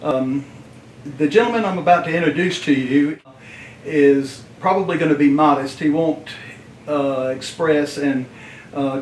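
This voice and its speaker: A man's voice: fairly high pitch, darkish tone. A man hosting a friendly interview and opening it with long, rambling introductions. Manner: rambling, lots of filler and restarts. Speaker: interviewer, host. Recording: echoey room, far from the mic, faint hiss.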